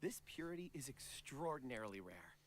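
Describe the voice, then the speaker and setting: An actor playing a gentle, polite, dreamy young wanderer in an anime video game, with courteous, earnest phrasing. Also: male voice, medium pitch, very animated, brisk, slight background noise, natural voice. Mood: warm, earnest.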